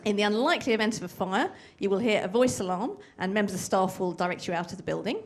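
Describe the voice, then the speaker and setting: A woman archivist welcoming an audience, going through housekeeping notes and introducing the speaker in a businesslike way. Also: mid-range voice. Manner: brisk, businesslike announcing. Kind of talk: formal event introduction.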